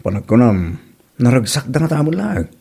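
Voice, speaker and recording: An actor playing an excitable, thrifty husband who bickers in a comedy drama, with music behind him. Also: male voice, mid-range voice, moderate pace, vocal fry, breathy edge, full character voice. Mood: animated. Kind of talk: comedy radio-style drama acting.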